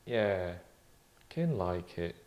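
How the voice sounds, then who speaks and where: A man hosting an online table read in casual, wry chat, with a deadpan, mock-formal manner. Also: deep voice, very slow, dull audio. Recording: roomy echo.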